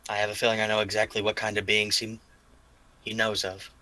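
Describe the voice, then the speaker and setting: A man's voice: lowish voice, monotone, brisk, pushed and bright, rough recording. A man voicing a confident, plain-spoken young fighter hungry for battle in a tabletop game. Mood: confident, curious.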